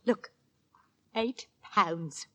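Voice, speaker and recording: An actress playing an anxious, deferential Victorian landlady, a wife and mother, in a 1940s radio horror drama, with a slightly husky voice. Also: medium pitch, fairly bright tone. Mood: brisk, relieved. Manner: servile and eager to please, brisk with husband.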